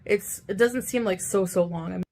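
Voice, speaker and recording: A woman with a gravelly, fry-heavy voice speaking to her camera at a middling pitch. She sounds defensive and self-justifying, pushing back on her critics. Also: fairly quick, a little mellow. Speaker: video creator. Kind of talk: talking to camera.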